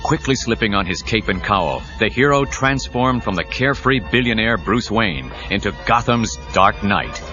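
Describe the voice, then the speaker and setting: Low, animated dramatic narrator, a man. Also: normal speed, few pauses, close to an everyday voice. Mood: dramatic, suspenseful. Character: dramatic male storyteller of a superhero tale. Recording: dull 1990s cassette, music under voice.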